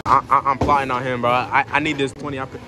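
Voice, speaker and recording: A young man vlogging casually with friends on a handheld phone camera, loud and joking, laughing a lot. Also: medium pitch, little pitch movement, fast, a loud crowd, heavy background noise. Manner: loud, joking, trash-talking, laughing often. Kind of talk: casual hangout vlog with friends. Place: bowling alley, then woods outdoors.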